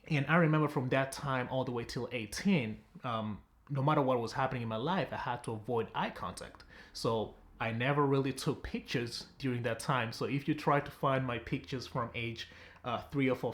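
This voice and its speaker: A young man from Tanzania, a relationship coach, telling viewers a personal story in a direct, chatty vlog style. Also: mid-range voice.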